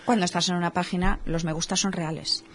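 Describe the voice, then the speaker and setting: A woman's voice: lowish voice, fairly even intonation, quick pace. A woman with a Spanish accent from Spain, hosting a casual radio interview and giving everyday examples. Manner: conversational, gives everyday examples. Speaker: radio show host.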